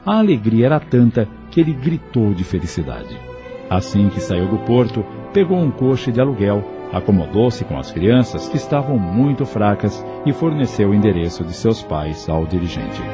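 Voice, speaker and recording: A man's voice: lowish voice, sing-song, moderate pace. A man narrating an audio novel in a scripted, dramatic storytelling style. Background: faint music.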